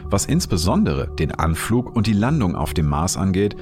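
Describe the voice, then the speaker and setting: A man hosting a science podcast, narrating from a script in a clear, explanatory way. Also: sits low, sing-song, moderate pace, music in the background. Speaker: podcast host and science journalist.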